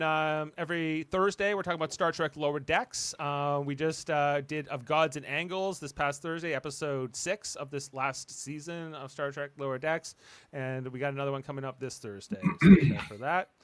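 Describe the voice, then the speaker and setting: A man with a slightly high voice hosts a casual podcast in a dry, close sound. He rambles through announcements, hesitating and letting sentences drift off unfinished. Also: fairly even intonation, normal speed, a bit bright, clean tone, no room sound. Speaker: podcast host. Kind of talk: casual panel chat, show announcements.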